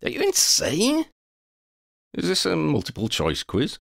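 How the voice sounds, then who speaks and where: Man acting a wisecracking, exasperated young guy, pitched up. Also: huge pitch swings, a little slow, vocal fry, fairly bright tone, no room sound, clean studio sound, exaggerated voice. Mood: incredulous. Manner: incredulous, wisecracking. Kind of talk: audiobook character voice.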